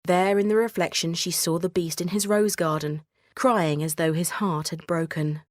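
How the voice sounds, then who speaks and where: A woman storyteller giving a measured, expressive scripted narration of a children's bedtime story. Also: on the low side, dry recording, clean studio sound.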